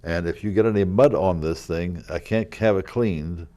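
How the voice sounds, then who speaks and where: Very deep-voiced older man telling stories. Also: normal speed. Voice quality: loud voice. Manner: rambling, chuckling, with many fillers and restarts. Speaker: guest, local historical reenactor. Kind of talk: casual interview storytelling. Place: community TV studio.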